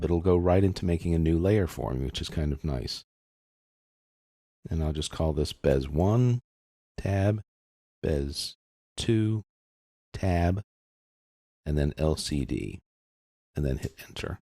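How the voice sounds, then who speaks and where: A man narrating a software tutorial in a casual, methodical way, explaining each step as he goes. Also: sits low, expressive, a little slow, pauses often, dry recording, clean studio sound. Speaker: software instructor.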